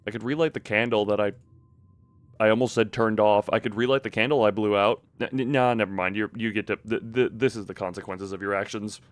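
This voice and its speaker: A man's voice: fairly low pitch, steady tone, fairly quick, no room sound. A man live-streaming a video game with casual commentary and chat with viewers, rambling in half-finished thoughts with playful sound words.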